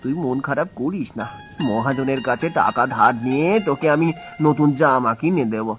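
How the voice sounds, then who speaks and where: A man playing a gentle, poor village father in a cartoon, speaking in a tender, plaintive way. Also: medium pitch, moderate pace, faint music behind, close to an everyday voice. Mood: sorrowful, tender. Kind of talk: cartoon voice acting.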